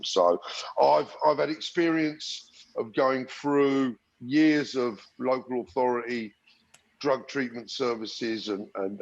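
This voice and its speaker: Reflective low-voiced man, lo-fi sound. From Algeria and England. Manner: reflective, frequent restarts and fillers. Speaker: podcast guest. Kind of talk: conversational interview storytelling. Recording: dull lo-fi sound.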